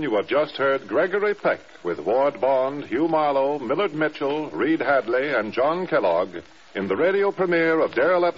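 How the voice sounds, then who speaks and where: An American man announcing a 1950s radio program in a scripted, showy period style. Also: medium pitch. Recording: muffled old radio broadcast recording. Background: music.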